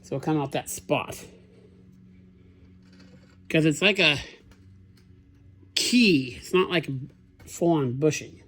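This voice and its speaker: A slightly high-voiced man, stuffy and sniffly from a cold, talks his way through a repair in a machine shop. He muses aloud in a plain, easygoing way as he works. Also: animated, slow, pauses often, fairly bright tone. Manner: thinking aloud, plain-spoken, musing. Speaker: machinist, channel host. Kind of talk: casual shop commentary while working.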